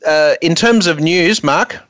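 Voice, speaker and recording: A man's voice: fairly high pitch, unhurried, fairly bright tone, no echo. Male radio host in a dry studio. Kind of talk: unscripted radio show hosting with announcements.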